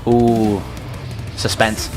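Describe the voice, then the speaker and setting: Man, fairly low voice, podcast co-host, casual music reaction, hesitant delivery. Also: little pitch movement, extremely slow. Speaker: podcast co-host reviewing songs.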